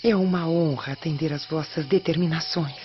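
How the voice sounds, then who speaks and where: A woman's voice: deep voice, normal speed, faint music behind, natural voice. An actress playing an obedient, eager Roman servant woman in a radio soap opera, speaking deferentially and theatrically. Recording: dull radio drama, faint background noise.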